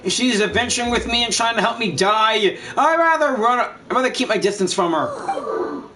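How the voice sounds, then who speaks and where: A man's voice: very high-pitched, animated, normal speed, recorded from a distance. A man casually commenting and reacting as he live-streams a game, recorded a little off the mic. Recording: off-mic, faint noise, game ambience.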